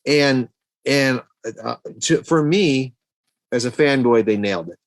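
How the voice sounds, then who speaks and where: A man's voice: mid-range voice, a little slow, no room sound. Middle-aged man chatting, dry close sound. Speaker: podcast co-host reviewing movies. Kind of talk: casual film discussion.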